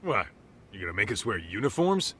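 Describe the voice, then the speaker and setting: A man's voice: fairly low pitch, very animated, fairly quick, bright, forceful tone, slight background noise. An actor playing a brash, cocky male tough guy in a video game drama, with slangy, teasing talk. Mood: gruff, casual. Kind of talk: video game cutscene acting.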